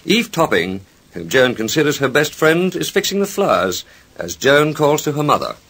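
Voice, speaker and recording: A man's voice: a little high, big pitch swings, normal speed, a bit bright. A man narrating a vintage radio soap opera as its announcer, with a formal, sentimental and dramatic delivery. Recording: vintage radio transcription recording with music. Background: music.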